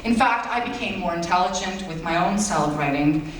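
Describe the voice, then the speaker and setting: A late-teen young man whose first language is Bulgarian delivers his valedictorian speech, earnest and self-deprecating with playful asides. His voice booms around a big reverberant hall, picked up from far back by an audience camera, with a faint hum underneath. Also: fairly quick. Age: late teens. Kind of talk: graduation speech. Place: large school auditorium. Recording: echoey hall recorded from far off.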